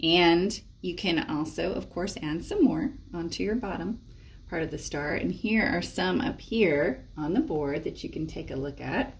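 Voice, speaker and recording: A woman's voice: lowish voice, unhurried, slight background noise. A woman presenting a library storytime, reading and explaining crafts expressively for young children. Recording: echoey room, distant microphone.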